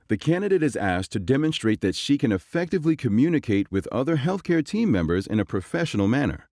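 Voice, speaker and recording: A man's voice: medium pitch, darkish tone, no echo, clean studio sound. A man reading scripted instructional narration. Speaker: narrator of exam explainer.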